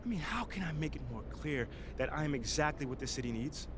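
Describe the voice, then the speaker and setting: A man plays a proud young American pro ballplayer in a video game story. His fairly high voice moves freely up and down as he talks in an earnest, emphatic, colloquial way. There is clear noise behind him. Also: animated, fast talker, natural voice. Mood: fired up, indignant. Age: young adult. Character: driven, proud young male pro basketball player. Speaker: video game actor playing the lead character. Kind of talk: naturalistic cutscene acting, impassioned speech.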